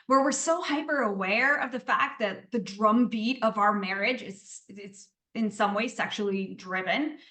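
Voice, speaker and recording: A woman's voice: fairly high pitch, fairly bright tone, roomy sound. A woman, a podcast guest, talking at length in a casual interview. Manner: talkative, explains at length.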